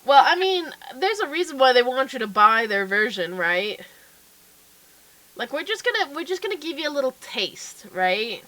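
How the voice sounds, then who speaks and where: A woman's voice: high voice, very animated, moderate pace, sharp tone, low-quality recording. A woman hosting a casual livestream chat, a bit stuffy and nasal from a cold, talking in a chatty, upbeat way. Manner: chatty, upbeat, lots of fillers. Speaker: livestream host.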